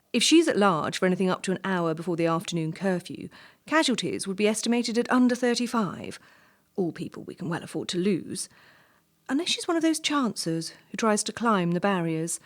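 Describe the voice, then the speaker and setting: A woman's voice: medium pitch, animated, lightly acted. Woman acting ruthless posh-entitled lady official in a play, condescending and bossy, voice goes up and down a lot. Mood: cool, clinical. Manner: brisk, condescending, coolly domineering. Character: entitled, ruthless young female assessor with veneer of charm. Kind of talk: stage-play dialogue.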